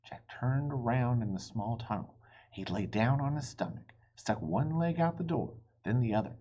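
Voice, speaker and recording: A man's voice: mid-range voice, normal speed, warm tone, muffled recording. A man reading a children's storybook aloud with dramatic, suspenseful expression. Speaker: storybook reader.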